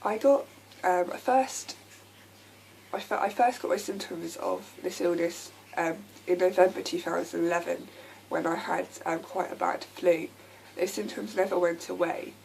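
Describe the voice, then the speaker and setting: A young woman with a croaky voice from a lasting sore throat, talking candidly to camera with many ums. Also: on the low side, unhurried, a little mellow, slight background noise, poor audio. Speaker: vlogger. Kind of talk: casual talk to camera.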